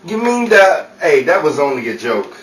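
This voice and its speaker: Far-off, echoing sound. Actor playing sheepish goofy prankster, high animated voice, radio comedy. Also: male voice, normal speed. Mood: pleading. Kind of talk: old-time radio comedy acting. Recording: roomy, distant, noisy recording.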